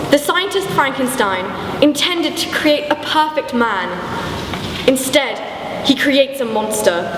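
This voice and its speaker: Narrator: young woman with a high voice, scripted presentation, noisy reverberant hall. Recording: echoey church hall, noisy.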